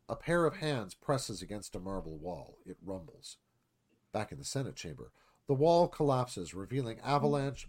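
Animated man narrating a screenplay. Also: mid-range voice. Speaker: narrator reading stage directions. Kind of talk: scripted screenplay narration, live read.

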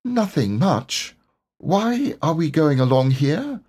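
A man voicing a mild, dim-witted male bear in a storybook, slow and hesitant. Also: on the high side, very animated, a little mellow, breathy edge, voice pushed up, exaggerated voice. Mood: mild, puzzled.

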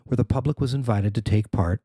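Male reporter, scripted narration, husky and creaky, close dry sound. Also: medium pitch, brisk, dark tone, dry recording. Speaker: reporter narrating a news story.

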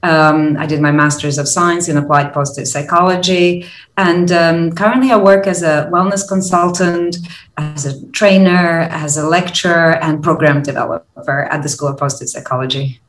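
A woman's voice: low voice, fairly even intonation, moderate pace. A woman from Croatia, guest on a podcast, explaining her field earnestly and thoughtfully, with hesitant fillers. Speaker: guest, positive psychology lecturer and consultant. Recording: roomy echoey sound.

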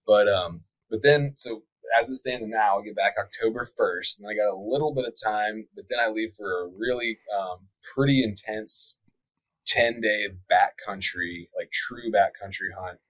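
A man's voice: fairly low pitch, moderate pace, a bit bright, dry recording, rough recording. A young man who hosts a hunting show, chatting casually on a podcast with lots of likes and ums. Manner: laid-back, many likes and ums, faith talk.

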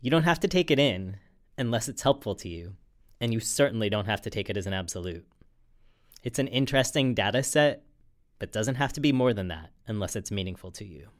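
A man reading a personal essay aloud in an earnest, measured way. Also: fairly low pitch, expressive.

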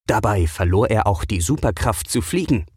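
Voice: mid-range voice, animated, normal speed, darkish tone, slightly rough, dry recording, clean studio sound. A narrator reading a scripted children's audio adventure as a storyteller.

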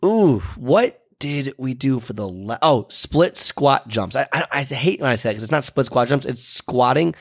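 A man's voice: medium pitch, expressive, moderate pace, vocal fry, hardly any pauses. Stuffed-up guy hosting a fitness show, rambly and chatty, lots of ums and restarts. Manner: loose and chatty, with many fillers and restarts. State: congested, stuffy. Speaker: fitness podcast host. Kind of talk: casual rambling talk.

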